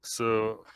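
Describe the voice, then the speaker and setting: A man's voice: fairly low pitch, fairly bright tone. A man playing a tabletop game, talking casually and searching hesitantly for his words.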